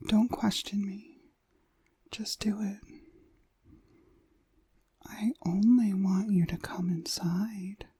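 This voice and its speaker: Woman playing a slow, whispering, seductive witch. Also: on the low side, warm tone, no room sound, full character voice. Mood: teasing, commanding. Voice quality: breathy, whispery. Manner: slow, deliberate, commanding, lulling. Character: seductive, commanding witch hypnotist, dominant and possessive. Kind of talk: ASMR roleplay, slow hypnotic whispering. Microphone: close, dry microphone.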